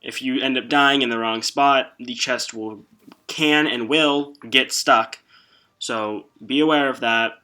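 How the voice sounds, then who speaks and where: A man giving a casual, somewhat halting walkthrough commentary as the host of a video game guide. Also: medium pitch, steady tone, sharp tone.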